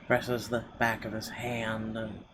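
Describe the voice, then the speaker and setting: A man's voice: fairly low pitch, monotone, slow pace, noisy background. A man hosting a gaming video, reading visual novel narration aloud with conversational asides. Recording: game music under the voice.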